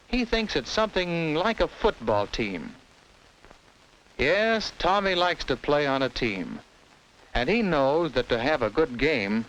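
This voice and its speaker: An American man narrates a children's educational film in the scripted style of the 1950s. His mid-pitched voice moves in lively, varied melody as he tells things simply and instructively to young listeners. The vintage film soundtrack carries a faint layer of noise. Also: low-quality recording.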